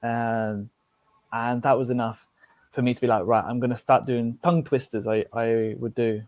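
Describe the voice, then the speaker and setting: A man's voice: medium pitch, flat delivery, moderate pace, darkish tone, no room sound. British man chatting casually, dry sound. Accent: British English. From United Kingdom. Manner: rambling, many fillers and restarts, laughs easily. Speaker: podcast guest, polyglot. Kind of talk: casual podcast chat.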